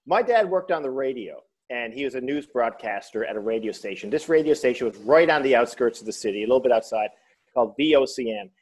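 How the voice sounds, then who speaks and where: A man's voice: medium pitch, expressive, fast talker, darkish tone, husky. Guy, comedian guest, jokey storyteller, chatty interview. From Newfoundland. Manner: joking storyteller, slips into voices. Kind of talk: casual interview.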